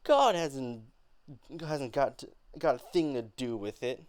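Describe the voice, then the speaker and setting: A man voicing an angry, defiant young woman in an amateur dramatic play reading, with halting, emphatic delivery. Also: medium pitch, expressive, darkish tone, no room sound, voice pushed up. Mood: angry, fed up.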